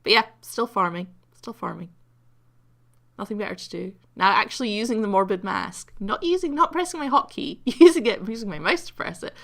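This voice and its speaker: A woman giving casual, rambling commentary over a video game replay, croaky from having just woken up and mocking her own play between many ums. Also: medium pitch, lively intonation, brisk, a bit bright.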